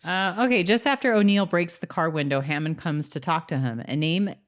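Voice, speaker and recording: A woman's voice: lowish voice, fairly quick. A woman co-hosting a podcast, chatting casually and reading out bits of trivia. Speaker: podcast co-host.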